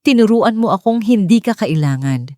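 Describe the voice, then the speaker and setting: Wronged wife, acted by a female narrator: calm, resolute, declarative, dark soft tone. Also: mid-range voice, normal speed, no room sound, studio quality, pitched above the performer's own voice, natural voice. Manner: firm, declarative.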